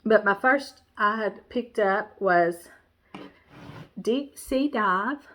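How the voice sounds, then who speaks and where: A woman chats through a cross-stitch show-and-tell in a nasal, congested voice. Her talk rambles, full of fillers and restarts, and her pitch stays in a middle range. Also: very slow, fairly bright tone. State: stuffy, congested nose. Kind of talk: casual show-and-tell chat.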